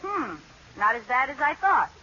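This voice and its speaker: Lively, bright, excitable housewife. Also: female voice, sits high, normal speed, breathy edge, full character voice. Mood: breezy. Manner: theatrical, expressive, breezy. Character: excitable, scheming, scatterbrained housewife. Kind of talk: 1940s radio sitcom acting. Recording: muffled, hissy old radio recording.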